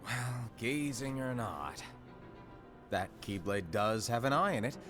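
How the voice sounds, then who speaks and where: An actor playing a mysterious, playful hooded keyblade master in a game cutscene, breezy and secretive. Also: male voice, mid-range voice, animated, takes it slowly. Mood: teasing. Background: game soundtrack music.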